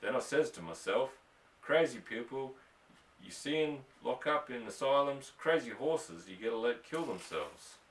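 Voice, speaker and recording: A man's voice: medium pitch, lively intonation, a little slow, slight background noise, natural voice. Guy doing a jokey blunt irish farmhand, rustic talk, audiobook story, roomy and a bit off mic. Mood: teasing, blunt. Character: comical grinning Irish farmhand, blunt and cheerful. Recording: roomy echo, distant from microphone.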